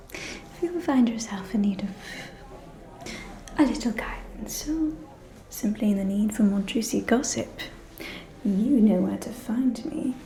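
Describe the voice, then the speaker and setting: A woman's voice: mid-range voice, warm tone, lightly acted. A woman playing a sly, solicitous vampire noblewoman in an ASMR roleplay, whispering intimately with honeyed insinuation. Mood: coy, inviting.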